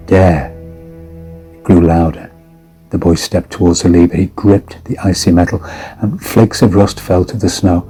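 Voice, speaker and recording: A man with a very deep, dark voice narrates horror fiction over music. His storytelling is measured, grave and dramatic. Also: breathy edge.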